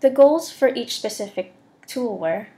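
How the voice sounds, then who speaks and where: A young adult woman with a fairly high voice narrates a prepared slide presentation. She speaks as a student presenter, reading from a script. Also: expressive, measured pace, creaky, dark tone.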